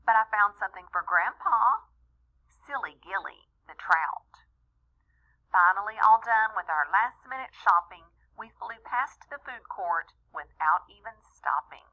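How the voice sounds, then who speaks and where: A woman reading a rhyming children's story aloud in an expressive, deliberate way, as a storyteller talking to young listeners. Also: mid-range voice, narrow, tinny audio. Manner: animated, deliberate, with small asides to young listeners.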